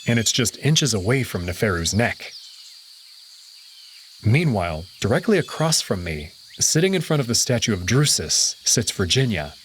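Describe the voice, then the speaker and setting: A man narrating a visual novel in the first person with an expressive, dramatic reading. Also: medium pitch, a little hiss or hum.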